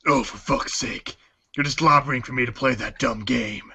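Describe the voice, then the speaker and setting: A man playing a cocky, foul-mouthed teenage boy with a deadpan, slangy, sarcastic delivery and husky voice in a storybook fan dub. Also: mid-range voice, moderate pace, heavily acted. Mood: sardonic, cocky.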